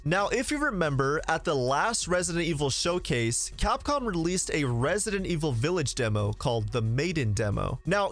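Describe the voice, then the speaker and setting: Lively-pitched man hosting a gaming video. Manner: addresses viewers directly. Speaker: gaming video creator and host. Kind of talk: conversational video commentary on game leaks. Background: background music.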